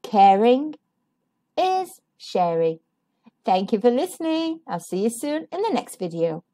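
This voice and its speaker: Female children's read-aloud host, high-pitched, very lively intonation, expressive and sing-song. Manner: animated, sing-song delivery with drawn-out emphasis. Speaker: children's storybook reader, channel host.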